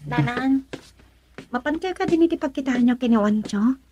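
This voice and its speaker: A woman's voice: sits high, lively intonation, moderate pace, dark tone, muffled recording, slight background noise. A woman with an Ilocano accent playing a fretful grown daughter in a radio drama. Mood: uneasy. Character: fretful grown daughter questioning her mother.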